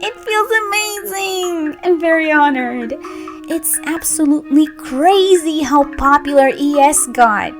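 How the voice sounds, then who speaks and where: A woman's voice: sits high, expressive, unhurried, faint music behind. A young woman, a voice actress chatting playfully through a fan Q&A. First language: Brazilian Portuguese. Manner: bubbly, playful, giggly. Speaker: voice actress and channel host. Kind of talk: casual Q&A chat.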